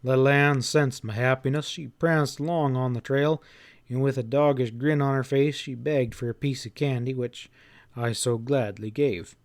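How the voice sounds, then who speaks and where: A man narrates a classic novel in the first person. His mid-pitched voice is folksy and expressive, leaning into the drama of the telling. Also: moderate pace. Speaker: audiobook narrator.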